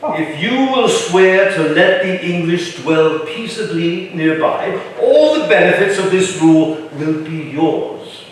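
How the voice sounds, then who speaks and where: An actor playing an imperious, shrewd older colonial governor with a formal, wry, commanding manner in a staged reading. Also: male voice, high voice, expressive, slow, hardly any pauses. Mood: formal, assured. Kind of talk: staged reading of a historical play. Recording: echoey room from far off, faint noise.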